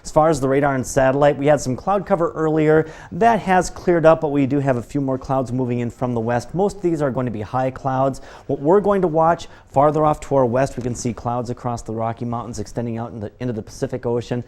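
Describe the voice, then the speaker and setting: An American man works as a television weather forecaster. His voice sits at a medium pitch. He explains conditions informally, in a conversational live-broadcast delivery. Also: a little fast, few pauses, a little mellow.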